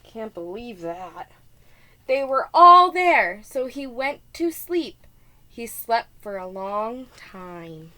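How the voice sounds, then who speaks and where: Female preschool teacher, storybook read-aloud, slightly high register, very slow deliberate pacing, expressive emphasis. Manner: expressive, deliberate, stressing key words.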